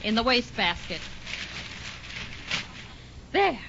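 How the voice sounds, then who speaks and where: A woman playing a brisk, bossy, expressive housewife in a 1940s radio situation comedy. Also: fairly high pitch, vocal fry, full character voice. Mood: exasperated. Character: brisk, no-nonsense housewife taking over the office. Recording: muffled 1940s radio recording, faint noise.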